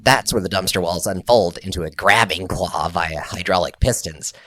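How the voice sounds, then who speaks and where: A man's voice: sits low, normal speed, a bit bright, a little hiss or hum. A man playing a cocky, easily exasperated inventor in an audio drama, casual, glib and given to grumbling. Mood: enthusiastic, proud. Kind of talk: scripted audio drama acting.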